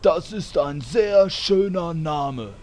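A man's voice: fairly high pitch, animated, slow, darkish tone, a little hiss or hum. A man acting a naive, whiny newcomer to tabletop gaming in a comedy audio drama, plaintive and sulky. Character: naive, whiny beginner gamer playing a healer.

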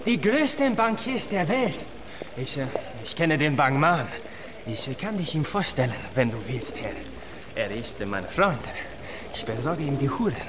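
An actor playing a pushy, obsequious Venetian guide and pimp, hesitant over foreign words, in a radio drama. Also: male voice, a little high, noisy background. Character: fawning, pushy Venetian street guide and pimp touting to travellers.

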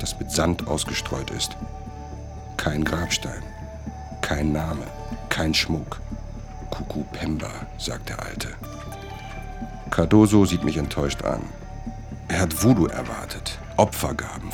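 An actor playing a brooding, world-weary narrator in a radio play, speaking deliberately and dramatically in a gravelly voice. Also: male voice, low voice. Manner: deliberate, dramatic, short phrases. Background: music.

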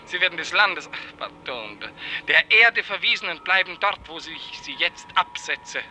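An actor playing a pompous, capricious, commanding despot in a 1950s radio play. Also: male voice, high-pitched, expressive, unhurried, sharp tone, soft background music, heavily acted, rough recording. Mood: bored, condescending. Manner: imperious, haughty, commanding. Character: pompous, capricious male despot, a general director in a dream.

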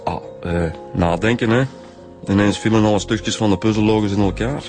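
Man acting Flemish police detective, lowish pitch, colloquial, hesitant, a bit evasive, radio drama, faint music behind. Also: steady tone, brisk, darkish tone, close to an everyday voice. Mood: casual, evasive. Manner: hesitant, colloquial, tag-heavy explaining. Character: easygoing, slightly evasive male homicide detective. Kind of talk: naturalistic radio drama dialogue.

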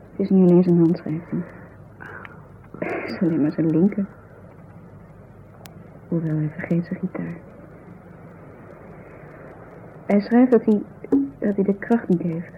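A woman's voice: mid-range voice, a little hiss or hum, natural voice. An actress playing a distraught, indignant woman in a 1970s Dutch radio drama, speaking with agitated, bitter emphasis. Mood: upset, insistent. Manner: agitated, emphatic, bitter storytelling with tag questions. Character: distraught, indignant woman telling of her injured friend. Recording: muffled 1970s radio recording.

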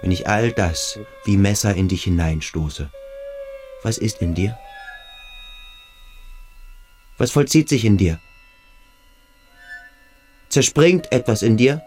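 Brooding, domineering schoolboy, low voice. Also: male voice, lively intonation, measured pace, long pauses, darkish tone, faint music behind. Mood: urgent, searching. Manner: intense, introspective, insistent. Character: brooding, domineering adolescent boarding-school boy, probing and tormenting. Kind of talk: German radio drama acting.

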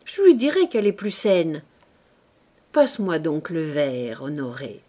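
A woman reading an audiobook, voicing a brash, greedy woman who talks in a shrill, loud, giggly way. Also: sing-song, unhurried, exaggerated voice. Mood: gloating.

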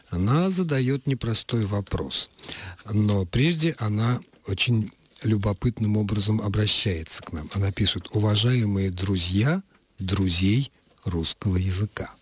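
A male radio host in a studio, reading listeners' letters aloud in a deliberate way with careful emphasis. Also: fairly low pitch, slightly rough.

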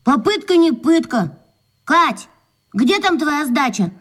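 A woman's voice: high voice, big pitch swings, slow pace, a bit bright, heavily acted. A woman playing an eager, cheeky young schoolboy sidekick in a radio play.

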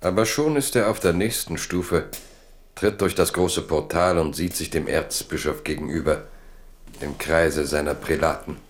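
A man narrating a literary radio play in German, telling the story in measured, dramatic phrases with pauses. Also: extremely low pitch, slight background noise.

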